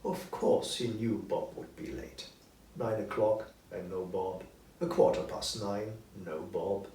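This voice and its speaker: A man reading a story as narrator, in an expressive, deliberate scripted style. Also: fairly low pitch. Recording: roomy echo, distant mic, faint noise.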